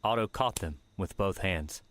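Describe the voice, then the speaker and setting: A man narrating an audiobook in a measured, steady storytelling manner. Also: low voice. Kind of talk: scripted narration. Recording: music bed under the voice.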